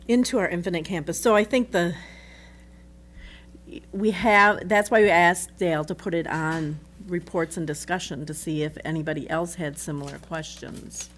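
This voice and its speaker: A middle-aged woman at a school board meeting, asking questions in a halting, restarting way into a desk microphone. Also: lowish voice, fairly even intonation, a bit bright. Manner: halting, restarts and trails off between thoughts.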